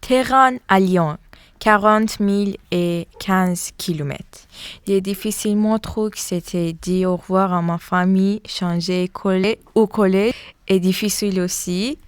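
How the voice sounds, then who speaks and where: Teen girl, halting reading, smooth voice, dry sound. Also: mid-range voice, no echo. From Iran. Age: teens. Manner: halting, learner's French. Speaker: student reading her text. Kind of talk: read-aloud personal account.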